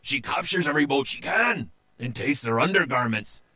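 A man voicing a nervous male pirate crewman in a storybook reading, hurried and worried. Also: medium pitch, animated, bright, forceful tone, voice pushed up.

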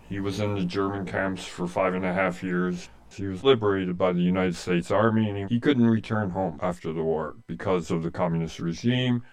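A man's voice: low-pitched, moderate pace, vocal fry, hardly any pauses. A man in his late sixties with a Canadian accent, telling his personal story in an earnest, plain-spoken way in an interview. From Canada, rural eastern Ontario. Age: late 60s. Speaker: interviewee, humanitarian aid volunteer.

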